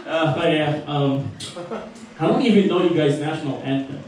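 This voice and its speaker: A young Filipino-accented man performs loose, profane stand-up in a small record store, often laughing along with his own punchlines. His voice sits high for a man and lands from a distance. A heavy roomy echo hangs on every word. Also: moderate pace, darkish tone. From Philippines. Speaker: stand-up comedian. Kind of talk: stand-up set.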